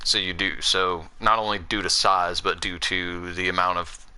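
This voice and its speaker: A man's voice: low voice, fairly even intonation, normal speed, pushed and bright, smooth. A young American man from the South with a mixed Southern and Northern accent, chatting casually and rambling while he streams a game. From Southern United States. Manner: rambling, many fillers and restarts. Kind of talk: casual chat while gaming.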